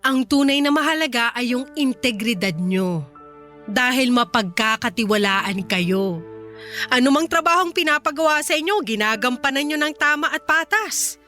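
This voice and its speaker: A woman's voice: sits high, expressive, normal speed, pushed and bright, faint music behind. A woman acting a kind, reassuring company boss in a Filipino radio drama, earnest and composed, with a Filipino accent. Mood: earnest, appreciative.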